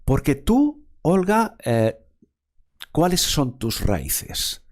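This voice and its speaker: A man's voice: fairly high pitch, big pitch swings, drawn-out words, darkish tone. A man from hosting a formal interview, speaking in a wordy way with frequent fillers and repeated words. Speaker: interviewer, show host.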